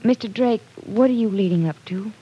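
A woman's voice: medium pitch, brisk, mellow, breathy edge, close to an everyday voice, rough recording. An actress playing an earnest, stubbornly loyal young woman in an old-time radio soap opera. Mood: anxious.

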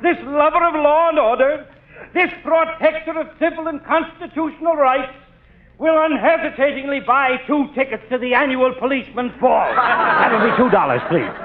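A pompous speechifying cop. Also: male voice, extremely high pitch, normal speed, some chatter behind. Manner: long-winded, speechmaking. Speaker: police officer. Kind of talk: 1940s radio comedy acting. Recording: tinny old radio broadcast.

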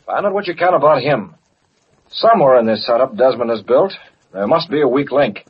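An actor playing a commanding newspaper boss and crime fighter in American radio drama, brisk and authoritative. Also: male voice, mid-range voice, lively intonation, husky. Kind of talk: old-time American radio drama acting. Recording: muffled, hissy vintage radio recording.

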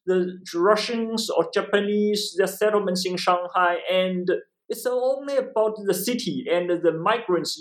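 A man's voice: high voice, poor audio. A man from eastern China with a Chinese accent, a professor explaining his work in an interview, repeating phrases and often checking with "right?". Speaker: interview guest, history professor and author.

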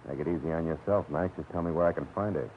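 Hard-boiled private eye, very deep voice, dry and terse, tinny old broadcast with music. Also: male voice, fast talker, slight background noise. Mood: wisecracking. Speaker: private detective narrator. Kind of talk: hard-boiled 1940s radio narration.

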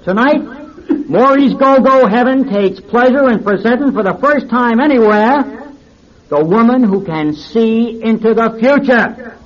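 A man's voice: very high-pitched, measured pace. An actor playing a brash, pushy go-go club owner with slangy showman talk in an old radio drama. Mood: showy, announcing. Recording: muffled, noisy old radio recording.

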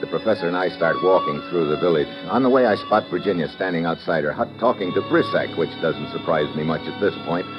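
A man's voice: deep voice, fast, hardly any pauses, lightly acted. A man acting a tough, wry investigator who narrates his adventure dryly in an old-time radio drama. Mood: dry narration. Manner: dry, sardonic. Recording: muffled old radio recording with loud music.

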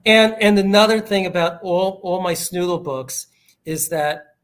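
A man's voice: high voice, unhurried, a bit bright. A man from the United States, an author guest, talking casually with plenty of restarts. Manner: rambling, many fillers and restarts. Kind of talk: casual interview chat.

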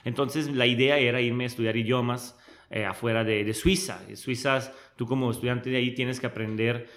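A man's voice: medium pitch, steady tone, brisk, a bit bright. Man telling his story. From Switzerland. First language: Italian. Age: early 30s. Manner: reflective, storytelling, searches for words. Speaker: guest. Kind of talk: conversational interview.

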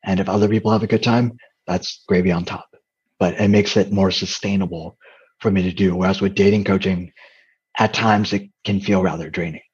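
Soft-voiced man, husky and muffled. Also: deep voice, steady tone, brisk. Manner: hesitant, many fillers and restarts. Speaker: interview guest, comedian and dating coach. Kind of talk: candid conversational interview.

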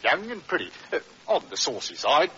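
An actor playing a chatty, deferential police constable in an old radio drama, brisk and respectful. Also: male voice, fairly high pitch, very animated, bright, forceful tone. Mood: eager, respectful. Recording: muffled vintage radio, faint hiss.

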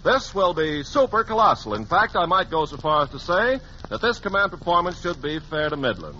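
A man's voice: a little high, sing-song, a little fast, pushed and bright. An American man making scripted announcements in the style of 1940s wartime radio. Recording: dull, faintly noisy 1940s radio recording.

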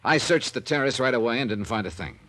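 An actor playing a brisk, wry freelance insurance investigator who narrates and talks in a dry, clipped way, in a 1950s radio drama. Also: male voice, medium pitch, lively intonation, pushed and bright, no room sound. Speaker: narrator and lead.

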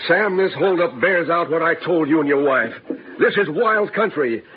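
Man playing a gruff high-pitched sheriff, muffled radio western. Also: lively intonation, normal speed, close to an everyday voice. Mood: wary. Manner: gruff folksy cowboy talk, commanding. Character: tough, suspicious male frontier sheriff. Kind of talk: old-time radio western acting. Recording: muffled vintage radio broadcast with noise.

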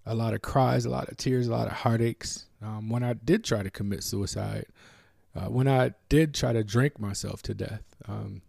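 A man from the United States hosting a podcast, talking alone in a reflective, halting way with many fillers and restarts. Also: lowish voice, creaky, a little mellow, no echo, studio quality. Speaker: podcast host. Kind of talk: reflective solo talk.

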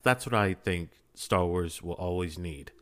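A man with a low-pitched voice speaks in a casual podcast discussion. He is earnest and emphatic, and his thoughts wander as he goes. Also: a little slow, a bit bright. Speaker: podcast panelist.

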